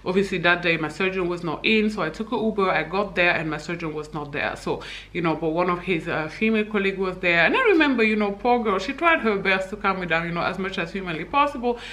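Bright-voiced chatty young woman from Senegal. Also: lowish voice, quick pace. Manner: chatty, frequent fillers and laughs, animated hand gestures. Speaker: vlogger. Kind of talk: candid personal vlog. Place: bright indoor living room.

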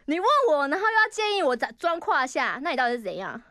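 A woman's voice: high-pitched, big pitch swings, fast, pushed and bright, no echo, studio quality. A woman with a Taiwanese Mandarin accent doing playful improvised radio banter, giggly and flustered, often repeating words. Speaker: radio comedy performer.

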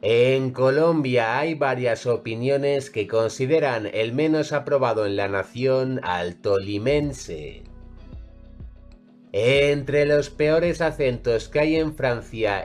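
A man reads a scripted voice-over at a very slow, deliberate pace, his voice sitting at an ordinary middle pitch. He moves through each entry in a measured, even way as faint music plays underneath. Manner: measured, evenly read list delivery. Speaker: narrator of ranking videos.

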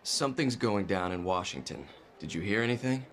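A man's voice: sits low, big pitch swings, fairly quick, a bit bright. A middle-aged man playing a gossipy, casual colleague in a naturalistic video game scene, talking confidingly. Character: chummy, gossipy, smooth-talking male senior colleague.

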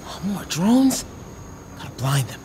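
A man's voice: sits high, big pitch swings, measured pace, a little mellow, a little hiss or hum. A man playing an earnest, nervous teenage boy in a video game, speaking casually and youthfully. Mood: tense, muttering. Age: young adult. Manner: casual, youthful muttering to himself. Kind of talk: naturalistic video game acting.

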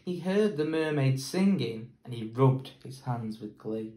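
A young man with an English accent, a teacher reading a picture book aloud in a slow, measured way, filmed indoors on a close camera. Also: mid-range voice, expressive, warm tone, roomy sound, poor audio. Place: plain indoor room.

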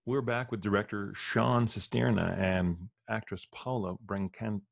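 Low-ish guy host, dry mic, jokey, laughs a lot. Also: steady tone, measured pace, creaky, no room sound. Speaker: show host. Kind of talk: casual interview hosting.

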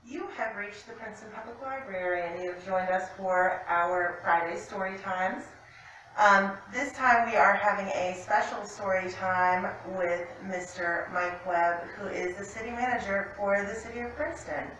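A woman's voice: mid-range voice, normal speed, a bit bright. A woman hosting a children's library storytime, slightly muffled by a face mask, speaking in an indoor room to a distant camera. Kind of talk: introducing and closing a children's storytime. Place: indoor room, seated by a fireplace mantel. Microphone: distant camera microphone. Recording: very echoey room, distant mic, faint noise.

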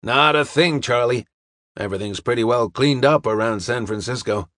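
A man playing a hearty, self-satisfied American police officer in an audiobook, brisk and slangy. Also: medium pitch, a bit bright, dry recording, clean studio sound, natural voice. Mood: hearty, smug.

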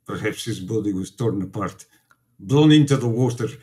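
A man's voice: lowish voice, expressive, normal speed, warm tone, natural voice. Man acting as earnest, defiant immigrant priest. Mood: impassioned pleading. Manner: earnest, pleading, formal phrasing. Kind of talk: radio play acting.

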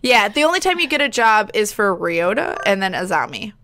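A woman's voice: medium pitch, big pitch swings, a little fast, pushed and bright. A woman co-hosting a let's play, commenting in a casual, giggly way. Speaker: game streamer, co-reader. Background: game music.

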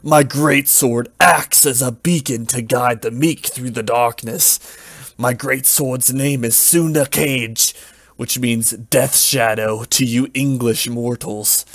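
Man putting on a snarling, shouty dark knight. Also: mid-range voice, steady tone, takes it slowly, dry recording. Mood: solemn. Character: brash hot-headed male dark knight.

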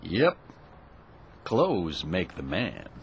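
Casting: smooth, confident mystery man, dry and teasing, lower voice that moves a lot. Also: wildly swooping pitch, drawn-out words, noisy background, exaggerated voice. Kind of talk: radio drama acting. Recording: radio play with music underneath.

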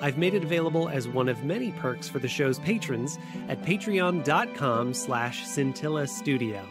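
A man hosting a podcast, reading scripted narration in a measured, articulate way. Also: fairly high pitch, expressive. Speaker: podcast host. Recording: music bed under the voice. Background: guitar music.

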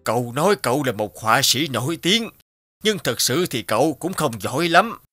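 A man's voice: medium pitch, sing-song, normal speed, lightly acted. Guy doing an older farmer in a cartoon, folksy and blunt, music in the back. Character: plain-spoken older male farmer, curious and blunt. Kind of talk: cartoon dubbing. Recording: music behind the voice.

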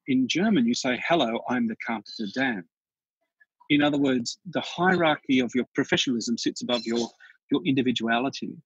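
A husky-voiced Australian man chats as a podcast guest, spinning anecdotes with lively energy and plenty of restarts. His voice sits at an ordinary middle pitch. The recording is dry and a little dull, like a lo-fi remote call. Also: a little fast, no echo. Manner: chatty storyteller, animated, frequent restarts. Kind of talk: casual interview chat.

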